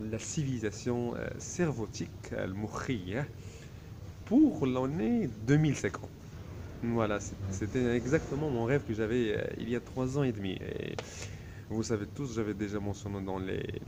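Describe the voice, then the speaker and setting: A man's voice: mid-range voice, noisy background. A man whose first language is Arabic, delivering a grandiose solo video monologue in a self-assured tone, full of hesitations. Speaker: vlogger.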